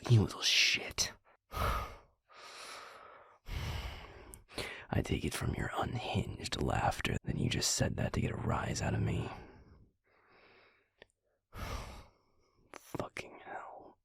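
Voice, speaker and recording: A man performs a gruff young werewolf boyfriend for an intimate audio roleplay. His voice is extremely low and full of gravel, breathy to the edge of a whisper. He drawls slowly, with dry sarcasm and grudging affection. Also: fairly bright tone, no echo, full character voice. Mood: sardonic, warning. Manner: slow teasing drawl with heavy sighs, dry sarcasm.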